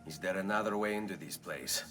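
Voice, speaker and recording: Game hero: actor as young Italian assassin, low voice, courteous, wry, music underneath. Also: male voice, a little fast, close to an everyday voice. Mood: urgent. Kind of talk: video game cutscene acting.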